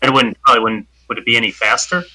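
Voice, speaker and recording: Rpg player dude, super bright sharp voice, kinda unsure of himself, lots of restarts. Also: male voice, medium pitch, fairly even intonation, quick pace, dry recording, rough recording. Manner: hesitant, self-deprecating. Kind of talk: casual game chat.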